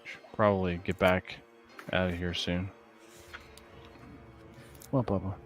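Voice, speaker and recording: A man's voice: low-pitched, flat delivery, normal speed, faint music behind. A man playing a tabletop game, casually saying what his character does with wry asides.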